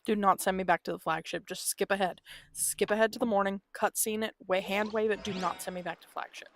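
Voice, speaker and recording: A woman's voice: mid-range voice, steady tone, a little fast, slight background noise. A woman live-streaming a game, talking casually and candidly. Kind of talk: casual live-stream chat over gameplay. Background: video game music.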